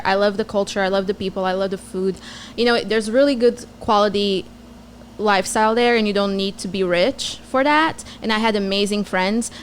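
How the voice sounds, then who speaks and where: A woman's voice: medium pitch, slight background noise. Blunt young woman with a Portuguese accent. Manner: blunt, swears freely, jokey. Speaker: comedian guest. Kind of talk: casual podcast chat.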